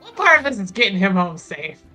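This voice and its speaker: Bright-voiced woman teasing at the gaming table. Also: medium pitch, big pitch swings, a little fast, faint music behind. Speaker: tabletop roleplaying player. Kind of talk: casual jokey table talk.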